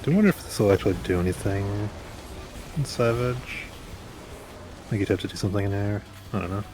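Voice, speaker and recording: A man's voice: lowish voice, normal speed, noisy background. A man guiding friends through a game raid in casual, matter-of-fact chat. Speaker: experienced gamer guiding the group through a raid. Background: game music.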